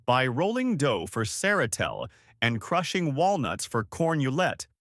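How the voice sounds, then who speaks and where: A man reading a personal essay aloud in a steady read-aloud delivery. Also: mid-range voice, a little slow, dry recording, clean studio sound. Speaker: essay reader.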